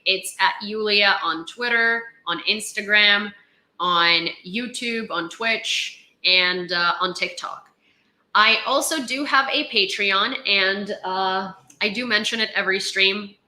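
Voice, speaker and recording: A woman's voice: mid-range voice, slow pace, bright, forceful tone, roomy sound. A young woman from Ukraine hosting a casual news live stream, reading headlines and chatting with viewers. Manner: chatty, laughs often, reads headlines aloud.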